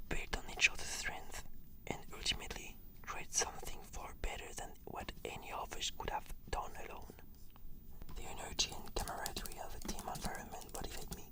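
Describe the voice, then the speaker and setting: Whispering woman in an ASMR roleplay. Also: rough recording. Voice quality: breathy whisper. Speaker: therapy client in roleplay. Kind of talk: whispered ASMR, scripted answers.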